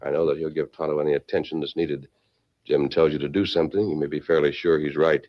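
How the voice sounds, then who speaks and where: A man playing a steady, authoritative masked western hero in old-time radio drama, speaking with crisp command. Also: very deep voice, fast talker. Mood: calm, firm. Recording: muffled old radio recording.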